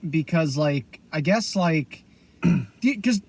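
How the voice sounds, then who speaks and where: A man's voice: on the high side, lively intonation, slow, darkish tone, breathy edge. A man from Pennsylvania with an American accent, co-hosting a podcast in casual pop-culture chat. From Pennsylvania, United States. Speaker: podcast co-host.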